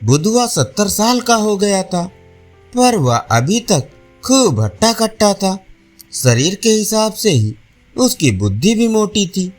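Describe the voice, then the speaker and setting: High-pitched, slow-paced man telling stories. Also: sing-song. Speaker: storyteller. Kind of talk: scripted audio storytelling. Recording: faint music behind narration. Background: soft instrumental music.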